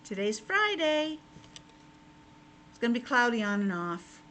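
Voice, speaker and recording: A woman's voice: a little high, sing-song, a little slow, bright, forceful tone. A woman teacher reading a picture book aloud to young children, breaking off for simple conversational asides. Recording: clearly noisy background.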